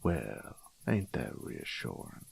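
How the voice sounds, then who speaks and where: Man playing a deep, gravelly, grumbling bandit knight. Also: huge pitch swings, slow, a little mellow, full character voice. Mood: sardonic. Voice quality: low, gravelly. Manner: grumbling, folksy drawl, crude. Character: gruff, rustic, sardonic bandit turned knight, male, thick-skinned nonhuman. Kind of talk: audio roleplay drama.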